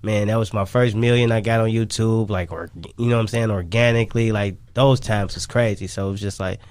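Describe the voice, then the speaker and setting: A man's voice: lowish voice, steady tone, fairly quick, a little mellow, clean tone. A man, talking casually and in slang as an interview guest, often repeating phrases for emphasis. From Chicago, United States. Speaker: guest, independent singer and songwriter. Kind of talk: casual radio interview chat.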